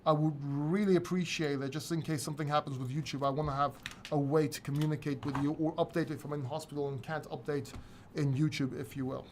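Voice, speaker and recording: A man's voice: a little high, little pitch movement, fast, a little mellow, slight background noise. A man from Greece hosting a casual live crypto Q&A, reading viewer questions from chat and answering them candidly, sometimes swearing. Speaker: crypto channel host.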